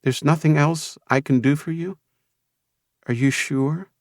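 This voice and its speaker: A man's voice: medium pitch, lively intonation, moderate pace, no room sound, clean studio sound, pitched above the performer's own voice, lightly acted. A male narrator voicing a guarded, streetwise young woman who is tempted by money. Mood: incredulous. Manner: cynical, guarded.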